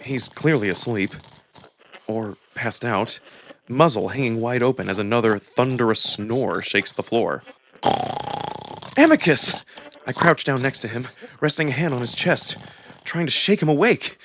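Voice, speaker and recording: A man giving an expressive, acted first-person narration of a visual novel. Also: mid-range voice, normal speed, a little hiss or hum. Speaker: narrator and voice actor.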